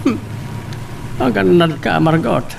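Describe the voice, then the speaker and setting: Man acting a gravelly, high-voiced scheming villain. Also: slow pace, slight background noise, full character voice, rough recording. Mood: menacing. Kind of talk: Ilocano audio drama acting.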